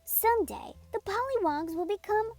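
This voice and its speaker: A woman's voice: very high voice, lively intonation, normal speed, a little mellow, soft background music, voice pushed up. A woman voicing a cheerful, proud little male bird in a children's storybook, full of childlike wonder. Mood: delighted, excited.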